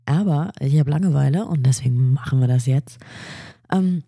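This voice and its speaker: A woman's voice: rumbling low, normal speed, dark tone, slightly rough, dry recording, studio quality. A woman hosting a casual solo science podcast, talking eagerly about nerdy facts while she has a cold and a blocked nose. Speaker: podcast host.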